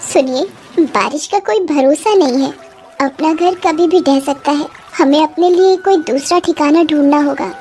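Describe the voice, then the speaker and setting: A woman's voice: sits high, moderate pace, a little mellow, close to an everyday voice. A woman playing an anxious, pleading poor village wife and mother in a moral story, plaintive and respectful. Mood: worried.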